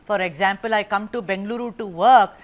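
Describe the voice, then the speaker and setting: A woman's voice: mid-range voice, brisk. A woman news anchor moderating a TV debate, pressing her guests and talking over them.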